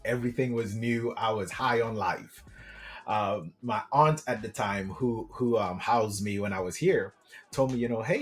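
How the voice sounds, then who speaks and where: A man from Trinidad and Tobago, a podcast guest telling personal stories in an enthusiastic, conversational way. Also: fairly low pitch. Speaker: podcast guest, business coach. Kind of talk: casual personal storytelling.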